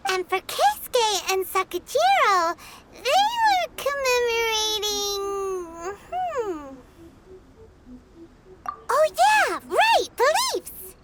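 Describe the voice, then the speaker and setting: A little game fairy sidekick, super high and squeaky, bouncy swoopy delivery, chirpy and blunt, clear noise behind. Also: female voice, drawn-out words, long pauses, exaggerated voice. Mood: recalling, earnest. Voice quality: squeaky, childlike. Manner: excitable, blunt. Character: tiny childlike fairy companion, chirpy, talks in third person. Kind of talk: cartoonish video game dialogue.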